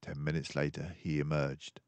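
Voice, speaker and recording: An older man narrating a children's book aloud as an expressive storyteller. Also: extremely low pitch, moderate pace, clean tone, dry recording.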